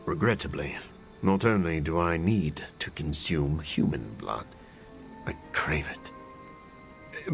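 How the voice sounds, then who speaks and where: Grim vampire scientist for a game, low voice w/ lots of swing in it, formal n measured. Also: male voice, creaky, full character voice. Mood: measured, regretful. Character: brooding male vampire scientist, formal and grimly resolute. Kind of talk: dramatic video game acting.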